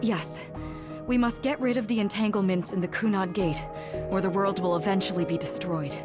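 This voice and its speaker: An actress playing a gentle, serious mother in a video game cutscene. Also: medium pitch, steady tone, moderate pace, close to an everyday voice. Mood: grave. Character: gentle, grave mother reunited with her grown son. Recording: music behind the voice.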